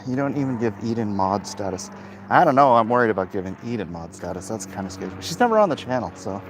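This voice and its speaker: A middle-aged man talking casually and haltingly to his viewers in a monologue recorded while out walking. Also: on the low side, sing-song, noisy background. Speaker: comic book creator vlogging. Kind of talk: casual walk-and-talk monologue. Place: outdoors, walking along a hilly route.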